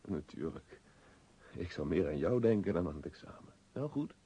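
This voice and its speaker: A man's voice: deep voice, lively intonation, moderate pace, warm tone, husky. An actor playing an impatient young man in a radio play, soothing his anxious fiancée while exasperated and dismissive. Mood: soothing, distracted. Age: young adult. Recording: old radio recording with faint noise.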